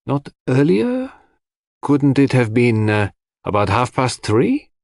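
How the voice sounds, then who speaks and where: A man voicing a brisk, imperturbable male detective with a formal, probing manner in an audiobook mystery. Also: mid-range voice, wildly swooping pitch, vocal fry, darkish tone, dry recording, clean studio sound, voice pushed up, full character voice. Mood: sharp, probing.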